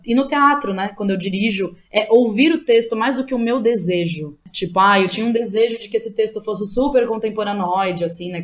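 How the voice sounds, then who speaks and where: Fast-talking Brazilian woman, casual and slangy. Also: medium pitch. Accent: Brazilian, São Paulo. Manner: animated storytelling, slangy, quotes herself and others. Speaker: guest playwright and director. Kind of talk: casual conversational interview.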